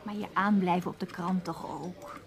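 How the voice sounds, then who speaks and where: A woman's voice: on the low side, fairly even intonation, normal speed, creaky, soft background music. An actress playing a writer's anxious, loyal woman companion in a Dutch radio play, pleading and scolding in a Netherlands accent. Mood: insistent, concerned.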